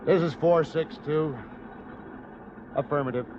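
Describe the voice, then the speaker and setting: Man as a slow, resigned co-pilot, muffled old radio. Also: on the high side, background noise, close to an everyday voice. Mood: resigned, wry. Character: resigned, wry airline co-pilot. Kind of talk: old-time radio drama acting.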